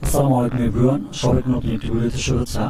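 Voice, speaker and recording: Brisk, flat-voiced man teaching a tutorial. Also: medium pitch, echoey room, far from the mic, over a noisy crowd. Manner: steady instructional, frequent "ঠিক আছে?" check-ins. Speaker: tutorial host. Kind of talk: screen-recorded software tutorial.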